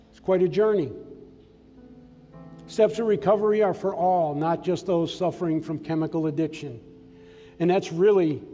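An older man delivers an earnest, plainspoken sermon from a church stage, his voice a little higher than most men's but mellow and soft in tone. A long reverb from the sanctuary trails his words, and faint music sits underneath. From Massachusetts. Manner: earnest testimony, personal and plainspoken. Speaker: preacher.

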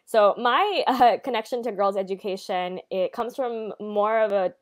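A woman's voice: mid-range voice, unhurried. A young woman raised in the United States, moderating a video-call interview and reflecting thoughtfully on her own past. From United States, born in Bangladesh. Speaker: event moderator, nonprofit director. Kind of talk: conversational interview. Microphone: video call webcam.

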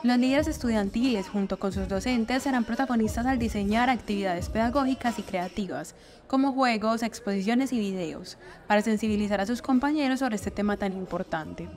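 A woman's voice: fairly high pitch, a little fast, hardly any pauses. A woman reading scripted news narration as a voice-over.

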